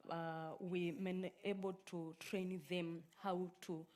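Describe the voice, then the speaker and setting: Tanzanian woman, Swahili native, conference presenter; slightly low voice, halting delivery with frequent ums. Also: level tone, a little mellow. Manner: halting, many filler sounds. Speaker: conference speaker.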